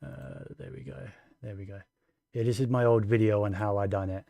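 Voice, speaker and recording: A man's voice: lowish voice, a little fast, creaky, mellow, no room sound. A man giving casual live gameplay commentary, muttering and thinking aloud as he goes. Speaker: game streamer. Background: faint game music.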